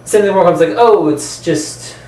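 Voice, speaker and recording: A man with a slightly high voice talks as a podcast co-host. He argues casually, circling back to rephrase his points. The sound is roomy, picked up away from the mic. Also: normal speed, a little mellow. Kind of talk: casual opinionated discussion. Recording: roomy, distant mic, background noise.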